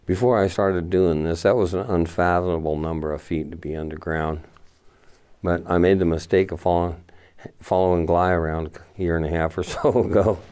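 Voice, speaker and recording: A man's voice: sits low, steady tone, a little fast, darkish tone, clear, resonant tone. An older man, the camera operator, chatting casually and wryly inside an abandoned mine tunnel. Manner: wry, self-deprecating, joking. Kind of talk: casual behind-the-scenes chat.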